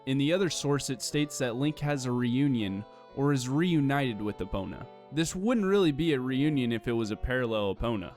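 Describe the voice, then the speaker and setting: Man narrating a scripted explainer over soft music. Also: mid-range voice, lively intonation. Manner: measured, explanatory, argumentative. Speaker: narrator of a video essay.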